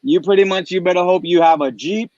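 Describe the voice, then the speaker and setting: A man's voice: high voice, fairly quick, darkish tone, no echo. A man raised in Puerto Rico, a guest on a video call, talking eagerly and rambling with many restarts. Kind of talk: casual chat. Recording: dry muffled video call audio.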